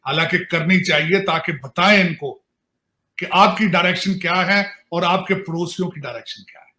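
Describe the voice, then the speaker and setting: Bright, forceful Pakistani man, roomy sound. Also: high voice, moderate pace. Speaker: political commentator on a talk show. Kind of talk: opinionated political discussion.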